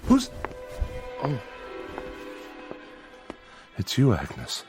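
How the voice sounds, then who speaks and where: A man's voice: mid-range voice, wildly swooping pitch, drawn-out words, a little mellow, faint music behind, heavily acted. A man playing a nervous farmer father with an American accent in a radio drama, folksy, hesitant and far too agreeable. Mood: weary, guarded.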